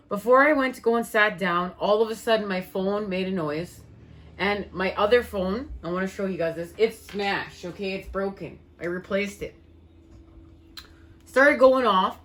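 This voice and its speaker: A woman giving a spiritual tarot reading, her voice worn and fading, speaking in slow, soothing, repetitive phrases. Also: mid-range voice, a bit bright. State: losing her voice. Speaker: tarot reader.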